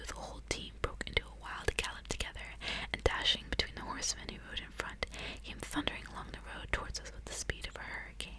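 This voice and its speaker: A woman reading aloud in a soft, breathy, hushed whisper, in an intimate ASMR style. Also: low-quality recording. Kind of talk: whispered ASMR audiobook reading with casual asides.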